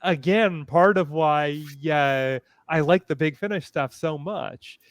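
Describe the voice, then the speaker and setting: A man speaking as a panelist in a fan discussion, hesitant and thoughtful, often halting as he phrases things. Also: fairly high pitch, no echo, clean studio sound.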